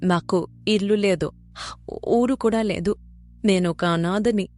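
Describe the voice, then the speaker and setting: A woman voicing a gentle, kind young princess in hiding, humbled, in a cartoon moral story. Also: medium pitch, takes it slowly, faint music behind, lightly acted. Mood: timid, sad.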